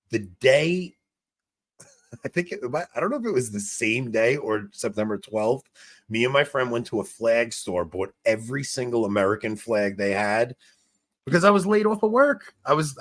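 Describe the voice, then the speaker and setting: A man in his forties with a smoky, gravelly voice, co-hosting a casual chat and telling stories with lots of laughs and restarts. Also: medium pitch. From New York. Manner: animated storyteller, laughs often, trails off and restarts. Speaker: podcast co-host.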